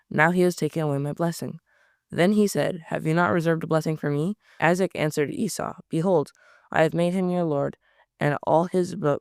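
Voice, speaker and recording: A man reading scripture aloud at a steady pace, often stumbling over words and correcting himself. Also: fairly high pitch, vocal fry, clear, resonant tone, dry recording, studio quality. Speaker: Bible reader.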